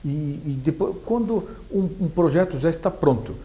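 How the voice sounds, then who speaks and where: A Brazilian man working as an interviewer, asking conversational questions in a halting, rephrasing way. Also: on the high side, expressive, warm tone.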